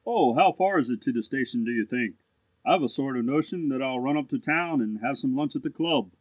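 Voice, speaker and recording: A man's voice: medium pitch, lively intonation, brisk, dry recording, lightly acted, low-quality recording. A man playing a languid, condescending grown-up older brother in a storybook, with pompous courtesy. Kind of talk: storybook dialogue acting.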